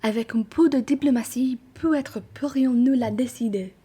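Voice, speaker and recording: A young woman playing a scheming, diplomatic genteel traveller in a French radio play, measured and insinuating. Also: high voice, warm tone, breathy edge.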